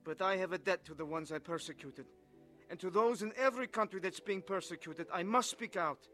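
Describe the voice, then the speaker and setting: A man's voice: high voice, brisk, sharp tone, faint music behind. An actor playing an earnest, haunted young Russian defector who tells his story in a dramatized testimony. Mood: earnest conviction. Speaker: first-person narrator of a dramatized testimony. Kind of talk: dramatized scripted narration.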